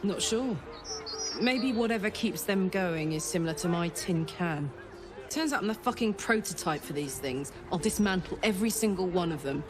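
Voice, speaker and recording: A woman's voice: lowish voice, lively intonation, moderate pace, over music, natural voice. An actress playing a brash, foul-mouthed female warrior in a video game, talking bluntly and informally with a sardonic edge. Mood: bitter, defiant. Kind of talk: scripted video game acting.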